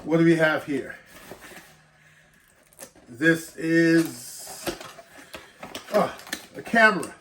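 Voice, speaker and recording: A man's voice: a little high, fairly bright tone, a little hiss or hum. A man with a slight cold and a stuffed-up voice, casually walking through an unboxing and reading product specs aloud before explaining them. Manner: reads specs off the box, then explains in loose asides. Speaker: tech reviewer.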